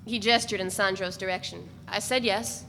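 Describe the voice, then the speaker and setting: A woman reading first-person literary narration aloud in a measured, even way. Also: medium pitch, vocal fry. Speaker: reader. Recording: echoey room with faint noise.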